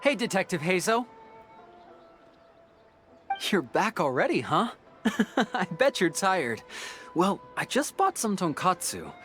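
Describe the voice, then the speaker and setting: A man voicing a friendly, easygoing young male detective in a video game, with a hearty, animated way of talking. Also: sits high, slow, long pauses, faint music behind, heavily acted. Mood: cheerful, hospitable.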